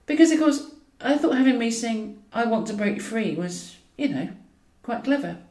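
Earnest male amateur performer played by a woman, tentative and chatty, animated pitch, distant mic. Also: moderate pace. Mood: eager, hopeful. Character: earnest, hopeful male prison governor, amateur drag singer. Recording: roomy echo, distant from mic.

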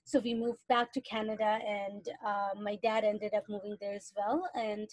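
A woman's voice: medium pitch, flat delivery, normal speed, no echo. A young adult woman from Iran, a guest on a podcast, talking casually and thoughtfully with frequent fillers. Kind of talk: casual interview talk.

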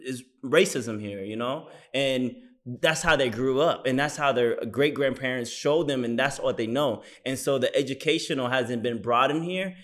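A man's voice: mid-range voice, normal speed, fairly bright tone. A man co-hosting a casual panel discussion in a laid-back, conversational way, often asking "you know what I mean".

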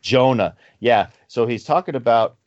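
A man's voice: mid-range voice, moderate pace, dry recording. A man in his forties co-hosting a podcast, chatting casually in a rambling way and chuckling between asides. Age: 40s. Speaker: podcast co-host. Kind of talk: casual chat. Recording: slightly muffled podcast recording.

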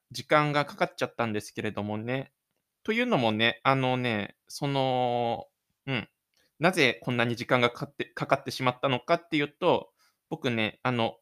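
A man's voice: mid-range voice, fairly bright tone, clear, resonant tone. A man presenting his own self-made audio drama, moving between casual radio-show talk and scripted narration.

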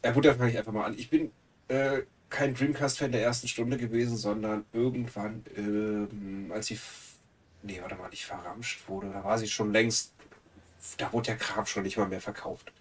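A man's voice: lowish voice, steady tone, normal speed, distant mic. A man with a cold who sounds nasal, chatting casually as a podcast co-host with joking, teasing banter. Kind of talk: casual chat.

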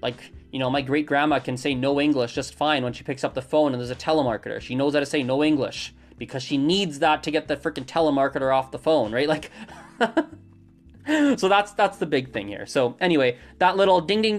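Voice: medium pitch, expressive. A language teacher talking at home in a casual, rambling monologue, answering a listener's question and often stopping to correct themselves. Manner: rambling, self-correcting, quick restarts.

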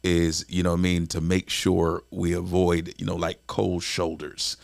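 Forties male co-host, deep gravelly voice, reflective, stumbles over words, dry recording. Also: completely flat delivery, measured pace, dry recording. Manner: reflective, stammers and repeats words. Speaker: podcast co-host, husband. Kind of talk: casual conversation.

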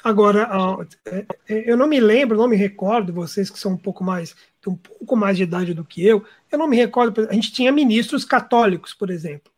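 A woman's voice: medium pitch, a little fast, darkish tone. A woman with a Brazilian accent hosting a political discussion, putting questions to the panel. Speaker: host.

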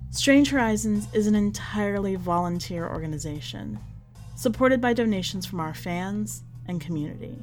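A woman reading in a measured, expressive way, as a podcast host presenting and narrating short fiction. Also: medium pitch, soft background music. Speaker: podcast host and story reader.

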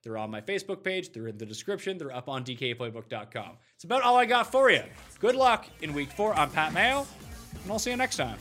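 Animated, bright-voiced male sports host. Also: fairly high pitch, brisk, faint music behind. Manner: animated, slangy, joking. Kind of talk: casual fantasy football talk show banter.

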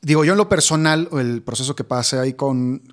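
A man's voice: medium pitch, fairly quick. A man hosting an interview, sharing personal reflections earnestly and asking probing questions. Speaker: interview host. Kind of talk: conversational interview.

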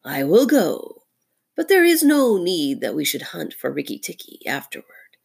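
A woman voicing a cold male cobra from a storybook, plotting in a hushed, conspiratorial tone. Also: lowish voice, wildly swooping pitch, unhurried, vocal fry, slightly rough, full character voice. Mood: coldly plotting.

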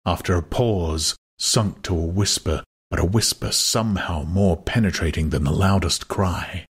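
Slow, low-pitched male dramatic narrator. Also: slightly rough. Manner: measured, dramatic. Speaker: audiobook narrator.

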